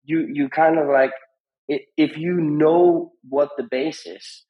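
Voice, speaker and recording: A man speaking as a podcast guest, giving conversational advice in a halting, rambling way with repeated phrases. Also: a little high, fairly even intonation, highs cut off. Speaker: podcast guest, music industry founder.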